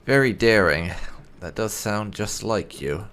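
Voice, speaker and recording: A man hosting a game playthrough, musing deliberately as he roleplays and reads out his character's dialogue choices. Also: fairly low pitch, animated, a bit bright, slight background noise. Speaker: gaming channel host, player.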